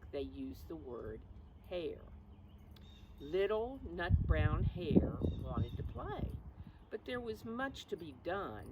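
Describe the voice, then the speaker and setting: Female preschool storyteller, teacherly and simple, very varied intonation, recorded in a backyard with clear ambient noise. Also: low voice, a little mellow, rough recording. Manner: simple teacherly phrasing, questions to young listeners. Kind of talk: picture-book read-aloud with explanations and questions. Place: outdoors in a backyard. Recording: clear outdoor background noise.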